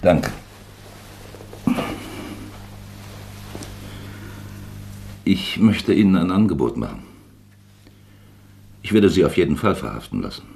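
Very deep, creaky, shrewd investigator. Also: male voice, big pitch swings, moderate pace, a little mellow, a little hiss or hum, full character voice. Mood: coolly persuasive. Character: shrewd, self-admittedly plump male investigating official, paternally probing. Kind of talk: German radio drama acting.

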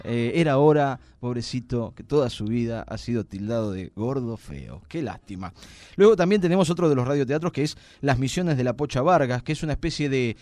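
A man's voice: mid-range voice, lively intonation, a little fast, a little mellow, no echo. A man with an Argentine accent hosting a live radio show, with a playful, joking way of talking. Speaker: radio host.

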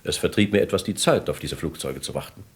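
Very deep, husky, hesitant male witness. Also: fast. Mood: hesitant, recollecting. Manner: hesitant recollection, earnest. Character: male millionaire, freed kidnap victim, earnest and hesitant. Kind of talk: German radio crime drama acting.

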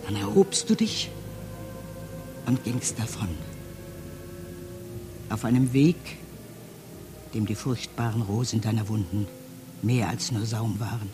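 A man acting a solemn poetic monologue in a radio play, an anguished, accusing figure addressing mankind, with dramatic declamation that falls into hushed tones. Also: on the low side, takes it slowly, pauses often. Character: anguished male speaker reciting a fervent accusatory address to mankind. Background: music.

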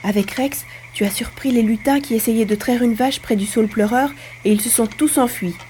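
A woman's voice: fairly high pitch, moderate pace, warm tone, slight background noise, close to an everyday voice. A woman playing a curious, earnest little farm boy, the grandson, in a French audio drama. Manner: inquisitive, earnest.

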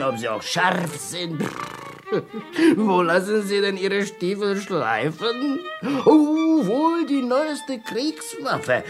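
An actor playing a boisterous, hard-drinking Croatian soldier in a radio play, exuberant, blunt and teasing. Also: male voice, high voice, exaggerated voice. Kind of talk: German radio play acting.

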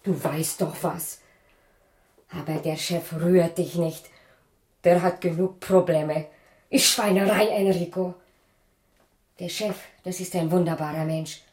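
A woman's voice: fairly low pitch, steady tone, slow pace, long pauses, a little mellow, roomy sound. An actress playing an indignant, suspicious circus woman who questions and accuses, in radio drama style. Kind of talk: 1980s German radio drama acting.

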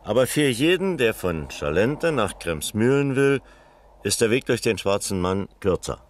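An actor playing a composed, probing police captain, courteous and measured, in a German radio crime drama. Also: male voice, fairly low pitch, big pitch swings, no echo. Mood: courteous, questioning.

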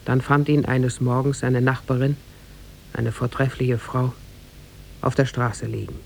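Casting: male narrator, mid-pitched with a gravelly edge, formal storytelling delivery, vintage radio drama. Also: flat delivery, a little hiss or hum. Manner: measured, formal.

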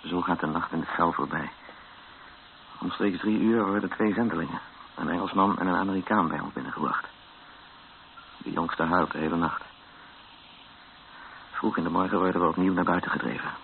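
A captive recounts his ordeal as a radio play narrator. The voice is low and gravelly, with a grave, measured delivery. It comes through a dull, narrow recording with some hiss. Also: steady tone. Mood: grim. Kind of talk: first-person radio drama narration.

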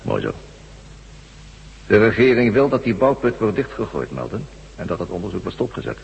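An actor playing a mysterious, commanding armed stranger in a radio drama, terse, evasive and coolly menacing. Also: male voice, fairly low pitch, very animated, normal speed, creaky, dull audio. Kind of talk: Dutch radio drama acting. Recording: hum and noise, long reverb.

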